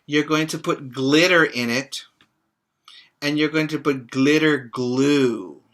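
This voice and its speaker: A middle-aged man, a preschool teacher, reading and explaining to young children in a simple, expressive way with short asides. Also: fairly high pitch, slow. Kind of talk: reading a children's book aloud and chatting to young pupils. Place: small room, indoors. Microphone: webcam or laptop mic at arm's length.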